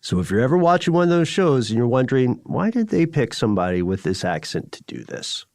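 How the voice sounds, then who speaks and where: Guy cohosting a podcast, mid pitch, chatty but articulate, no room echo. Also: very animated, a little fast, gravelly, a little mellow, clean studio sound. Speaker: podcast co-host. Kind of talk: conversational podcast talk.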